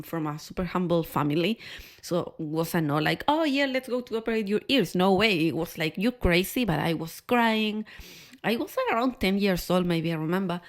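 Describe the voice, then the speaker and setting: A woman with a Chilean Spanish accent speaks at a medium pitch. She shares personal stories candidly and expressively, like a podcast host talking straight to her viewers. She often repeats words to drive a point home. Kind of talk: personal storytelling to camera.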